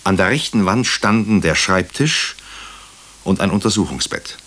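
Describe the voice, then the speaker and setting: An actor playing a wry hospital doctor who narrates a crime case in a radio drama, dry and ironic. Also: male voice, low voice, expressive, normal speed, a bit bright. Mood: measured narration.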